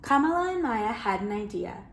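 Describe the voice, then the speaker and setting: Animated woman reading a picture book. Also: medium pitch, moderate pace, creaky, a little hiss or hum. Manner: animated, expressive, addresses listeners as friends. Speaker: children's storyteller. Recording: roomy echo.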